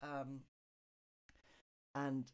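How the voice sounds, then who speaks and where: A middle-aged woman with a low voice, casually chatting to viewers on her live request stream and muttering quietly to herself, close on a studio mic. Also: completely flat delivery, extremely slow, smooth. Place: home music room, indoors. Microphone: studio condenser mic on boom arm, wearing headphones.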